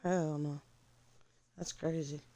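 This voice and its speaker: A woman's voice: low voice, level tone, slow, mellow, no room sound. A woman streamer losing her voice, chatting casually in loose, joking banter while gaming.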